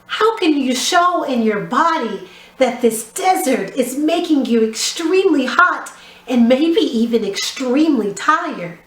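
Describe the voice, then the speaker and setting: High-pitched, animated woman teaching young children. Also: a little slow. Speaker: drama teacher. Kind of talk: instructional video lesson for young children. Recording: roomy, echoey sound.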